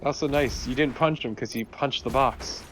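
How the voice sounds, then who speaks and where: Male gaming co-commentator, medium pitch, irreverent and foul-mouthed, casual delivery, a little background noise. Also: moderate pace, fairly bright tone. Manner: irreverent, sarcastic, profane. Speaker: let's play co-commentator.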